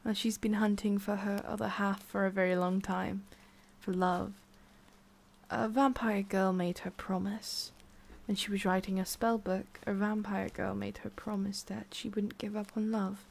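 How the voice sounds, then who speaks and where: A woman plays a centuries-old witch of the forest, talking straight to the listener in an ASMR roleplay. Her voice sits at an ordinary female pitch with plenty of fry. She is prickly and haughty on top, softer underneath, and muses haltingly as she goes. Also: level tone. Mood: fond, musing.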